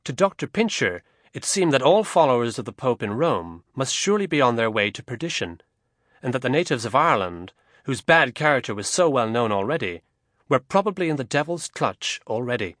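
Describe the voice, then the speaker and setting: A man narrating a historical novel as an audiobook, in a measured, expressive storytelling manner. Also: mid-range voice, dry recording, studio quality.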